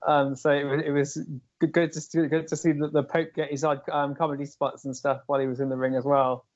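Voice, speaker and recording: A man's voice: fairly high pitch, flat delivery, fairly quick, dry recording. Stammering British man, dry lo-fi sound. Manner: stammering, repeating words. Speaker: podcast co-host. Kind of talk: casual wrestling chat.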